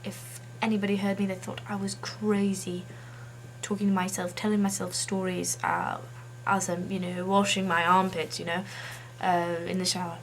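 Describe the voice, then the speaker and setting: A woman chatting casually to camera as a video blogger, halting as she tries out a put-on accent. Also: fairly low pitch, flat delivery, slight background noise, low-quality recording.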